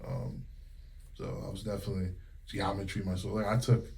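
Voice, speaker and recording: Man, 30s, low voice, relaxed and candid, singer being interviewed. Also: a little fast. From Connecticut. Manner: relaxed and candid, many fillers and quoted retellings. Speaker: interviewed singer and music teacher. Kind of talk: casual interview.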